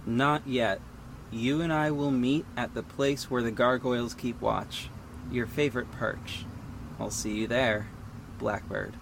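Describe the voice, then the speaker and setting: A man acting as a guarded, sardonic costumed vigilante in an audio drama, measured and terse, with an exasperated edge. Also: medium pitch, fairly even intonation, creaky, noisy background. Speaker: narrator and lead actor. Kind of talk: first-person audio drama narration and dialogue.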